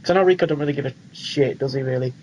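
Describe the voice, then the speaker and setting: A man's voice: mid-range voice, rapid-fire, darkish tone, a little hiss or hum, low-quality recording. A young British man co-hosting a podcast, talking informally and agreeably in casual chat. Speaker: podcast co-host. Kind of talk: casual film and game chat.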